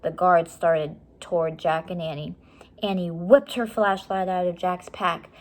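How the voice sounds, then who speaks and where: Slow-paced woman reading a children's story. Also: fairly low pitch, steady tone, a little mellow. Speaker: story reader. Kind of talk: children's chapter book read-aloud.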